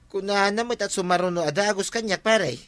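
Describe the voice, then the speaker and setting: A man's voice: high voice, fairly quick, a bit bright, faint music behind. A man playing an exasperated village man who complains emphatically, in an Ilocano radio comedy drama.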